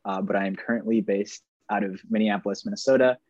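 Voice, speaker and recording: A man's voice: on the low side, fairly even intonation, fast, darkish tone, no room sound. A young man from Venezuela, a filmmaker, answering interview questions in an easygoing, self-mocking way. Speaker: guest filmmaker. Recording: dry, dull lo-fi sound.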